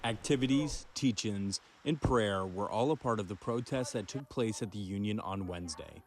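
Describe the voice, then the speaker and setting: A young man narrating a news report as a student reporter, in a steady reporting cadence. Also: fairly low pitch, normal speed, slight background noise. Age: young adult.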